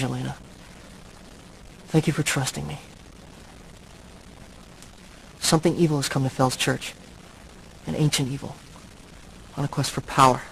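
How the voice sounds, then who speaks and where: Brooding, gravelly old-souled vampire. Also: male voice, on the high side, a little hiss or hum, rough recording. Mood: grave, ominous. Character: brooding centuries-old male vampire, tormented and noble. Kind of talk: earnest video game voice acting.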